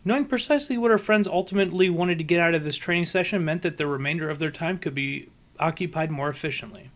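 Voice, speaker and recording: A man's voice: on the high side, quick pace. A man narrating a scripted story as an audiobook reader.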